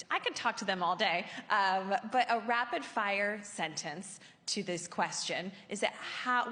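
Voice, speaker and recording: A woman's voice: medium pitch, sharp tone. A woman moderating a panel on stage in a chatty, conversational style. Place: large conference hall stage. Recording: echoey hall with long reverb.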